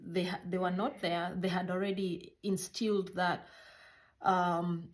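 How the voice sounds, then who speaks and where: Low-pitched Kenyan woman, halting and reflective. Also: flat delivery. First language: a Kenyan mother tongue. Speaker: healthcare worker recounting her life. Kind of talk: personal storytelling.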